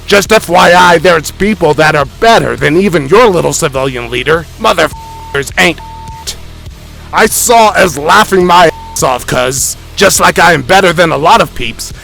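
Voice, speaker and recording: A man's voice: fairly high pitch, expressive, normal speed, gravelly, a bit bright, poor audio. A man playing a boastful, indignant internet tough guy who rants profanely in a dramatic reading of forum posts. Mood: loud, indignant. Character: boastful, ranting, insecure internet tough guy claiming royalty. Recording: music under the voice.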